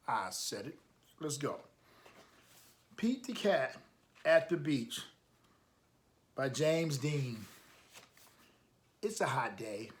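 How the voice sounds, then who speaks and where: Slow-paced man reading to children. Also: medium pitch, sing-song. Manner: encouraging, emphatic, asks listeners questions. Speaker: storytime reader, coach. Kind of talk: children's picture book read-aloud with asides.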